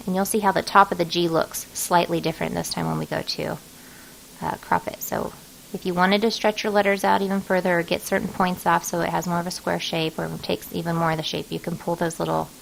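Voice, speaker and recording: A woman narrating an informal software tutorial, talking casually and thinking aloud as she works. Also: fairly low pitch, creaky, slight background noise, poor audio. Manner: casual, folksy, thinking aloud while working. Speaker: craft design software tutorial host.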